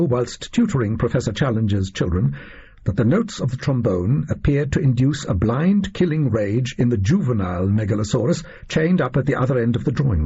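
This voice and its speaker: Guy doing a smug gentleman detective, very husky, swoopy pitch, precise and theatrical, storybook reading. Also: highs cut off, heavily acted.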